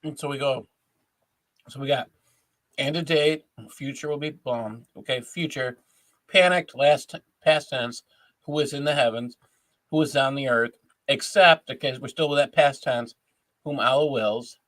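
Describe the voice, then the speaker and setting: A man with a medium-pitched voice who talks like an educator. He explains each point step by step in a casual, conversational way. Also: measured pace, fairly bright tone, low-quality recording. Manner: explanatory, like a teacher walking through a grammar point. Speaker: co-host, educator. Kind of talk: casual critical discussion.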